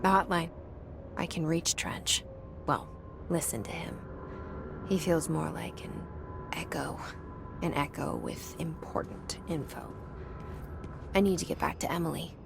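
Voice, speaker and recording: An actress playing a guarded, quietly determined young woman in a video game, speaking in a hushed, clipped, pensive way. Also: low voice, steady tone, unhurried, creaky, long pauses, over music. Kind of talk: video game drama, introspective and understated.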